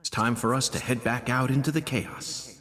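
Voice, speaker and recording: A man's voice: medium pitch, fairly even intonation, moderate pace, a bit bright, lightly acted. An actor playing a cheerful, eccentric male FBI agent in game dialogue who keeps talking to an unseen companion. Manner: chatty, talks to an unseen companion.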